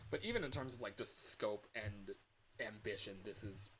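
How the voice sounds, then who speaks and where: A man in his early twenties chatting casually on a livestream as a game developer, rambling in an excitable, self-deprecating, giggly way. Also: lowish voice. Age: early 20s. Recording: hissy home livestream audio.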